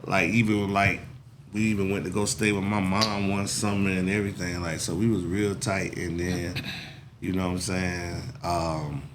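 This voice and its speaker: Low-voiced guy in his 40s hosting, rambling easy chat, noisy background hiss. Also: level tone, normal speed. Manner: laid-back, rambling, says "you know what I'm saying" often. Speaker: podcast host. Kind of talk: casual chat.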